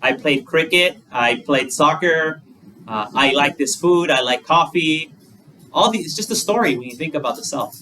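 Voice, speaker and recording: A man's voice: fairly high pitch, lively intonation, normal speed, bright, forceful tone. A male Buddhist monk giving a dharma talk, conversational, using plain examples and rhetorical questions. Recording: distant mic with faint room noise.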